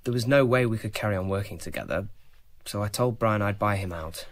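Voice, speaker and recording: An actor playing a proud young farmer in money trouble who speaks hesitantly and avoids admitting problems. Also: male voice, fairly low pitch, brisk, close to an everyday voice. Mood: reluctant. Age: young adult. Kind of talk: radio drama acting.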